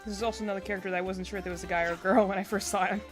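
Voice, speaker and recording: Female game streamer, mid-pitched, casual and rambling, thinking out loud as she reacts. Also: steady tone, rapid-fire, soft background music. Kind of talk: casual livestream chat.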